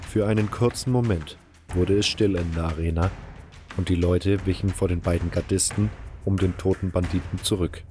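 A man with a low voice narrates a fantasy story in the first person. The delivery is scripted storytelling, with faint music behind it. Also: steady tone, moderate pace, warm tone.

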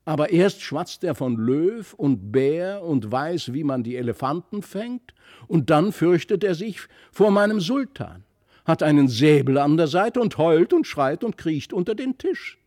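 Guy doing a cocky scornful little storybook boy, pitched up, exclaiming and dismissive. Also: very animated, unhurried, a little mellow, no room sound, studio quality. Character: spirited, scornful young boy in a fairy tale. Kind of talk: storybook character reading.

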